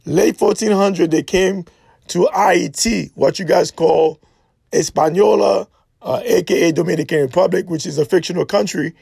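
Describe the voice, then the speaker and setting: Gravelly man lecturing hard. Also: sits high, normal speed, darkish tone, no echo. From Haiti. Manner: emphatic, insistent, repeats points and asks "okay?". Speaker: speaker giving a history talk. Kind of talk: impassioned lecturing monologue.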